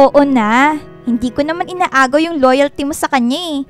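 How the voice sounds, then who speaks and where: A very high, expressive voice for a sweet, popular young woman student, acted by a woman in a radio drama. She is playful and teasing, coaxing as she talks, with faint music behind her. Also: normal speed, natural voice. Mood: frank, teasing. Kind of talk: radio drama acting with Taglish dialogue. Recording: music bed behind dialogue.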